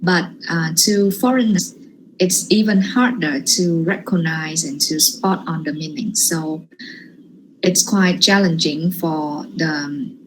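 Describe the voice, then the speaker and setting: Slow-speaking Vietnamese-accented woman lecturer. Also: on the low side, a little hiss or hum, low-quality recording. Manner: hesitant, frequent "yeah" and "um" fillers. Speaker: guest lecturer. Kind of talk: online workshop lecture.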